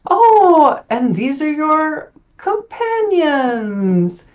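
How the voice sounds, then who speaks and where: A man's voice: very high voice, big pitch swings, drawn-out words, muffled recording, a crowd in the background, pitched above the performer's own voice, heavily acted. A man voicing a short, flamboyant female cleric who gushes over guests and blows air kisses. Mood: gushing. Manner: theatrical, air kisses, fake French flair.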